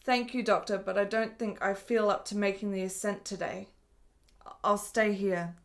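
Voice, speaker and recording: A woman's voice: medium pitch, steady tone, moderate pace, lightly acted. An actress playing a frail, ailing woman in her twenties in a period radio drama, earnest and frank with formal, precise phrasing. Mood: weary, tender.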